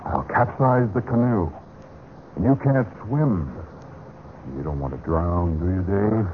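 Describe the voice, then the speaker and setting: Steely, low-voiced old-radio hero. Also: male voice, lively intonation, a little slow. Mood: calm menace. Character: shrewd, steely man coolly cornering a killer. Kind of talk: Golden Age radio drama acting. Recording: noisy tinny vintage radio recording.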